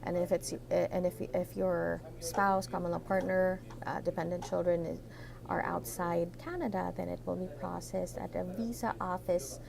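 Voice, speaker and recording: Filipino woman explaining in Taglish, gravelly. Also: on the low side, steady tone, moderate pace, a crowd in the background. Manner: code-switches between English and Tagalog, many fillers and rhetorical "right?". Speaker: immigration consultant hosting a live Q&A. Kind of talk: live-stream explainer. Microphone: computer setup at a desk.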